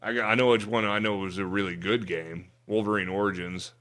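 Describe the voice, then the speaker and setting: A man's voice: lowish voice, fairly even intonation, brisk, fairly bright tone. A man hosting a casual pop culture podcast chat, blunt and opinionated, swearing freely. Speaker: podcast host.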